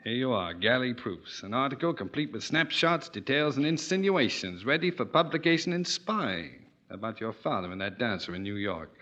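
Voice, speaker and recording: An actor playing a smug, needling ex-husband in a 1940s radio play, sardonic and smooth. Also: male voice, medium pitch, sing-song, moderate pace, few pauses, bright, forceful tone. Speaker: supporting actor. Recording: dull 1940s radio broadcast.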